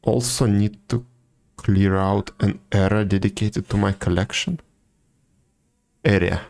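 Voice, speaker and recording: A man chatting casually as a live game streamer, musing aloud in a low-key, halting way. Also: steady tone, darkish tone.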